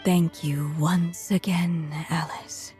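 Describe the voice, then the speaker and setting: An actress playing a gentle, motherly storyteller in a game, speaking a wistful and solemn farewell. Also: fairly low pitch, steady tone, slow. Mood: wistful, faint. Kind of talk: dramatic game voice acting. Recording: loud music behind the voice. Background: loud music.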